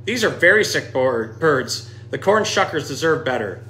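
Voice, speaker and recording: A blunt sports coach from a children's picture book, read by a man, makes firm declarations. The voice is a little high and there is some background noise. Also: big pitch swings, moderate pace, bright, forceful tone. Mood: disgusted. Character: gruff sports coach, bluntly judging turkeys.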